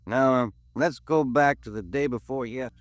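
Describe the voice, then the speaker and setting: An actor playing a plain-spoken, easygoing detective partner in a 1950s radio crime drama. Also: male voice, medium pitch, normal speed, dry recording, natural voice. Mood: probing. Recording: old 1950s radio recording.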